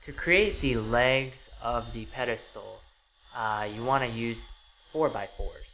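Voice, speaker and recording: A man in his thirties hosting a home DIY channel, explaining a woodworking build in a relaxed, casual way with frequent fillers. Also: medium pitch, takes it slowly, lo-fi sound, a little hiss or hum. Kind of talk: casual how-to narration. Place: small home laundry room, then voice-over over garage workshop footage. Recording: voice over clear background music. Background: background music.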